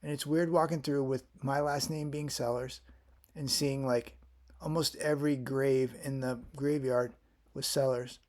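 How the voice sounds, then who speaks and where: A man's voice: medium pitch, level tone, measured pace, a little mellow. A man in his fifties from Florida, talking through his health and family history in a casual vlog, rambling and often restarting his sentences. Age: 50s. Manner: rambling, with frequent restarts and fillers. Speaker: vlogger.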